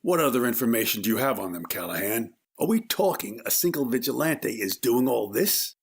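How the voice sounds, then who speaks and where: A man's voice: medium pitch, lively intonation, a little fast, slightly rough. A man acting as a businesslike police captain in charge, in an audio crime drama. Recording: faint background noise.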